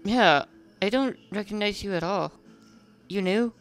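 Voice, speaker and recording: A story reader voicing a brash, excitable young female cartoon pony, loud and blunt. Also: medium pitch, a little slow, faint music behind, voice pushed up, natural voice. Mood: suspicious.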